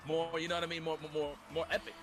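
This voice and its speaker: A man with a New York accent, hosting a charity stream and excitedly co-commentating a game with slang and repeated words. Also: a little high, rapid-fire, music in the background.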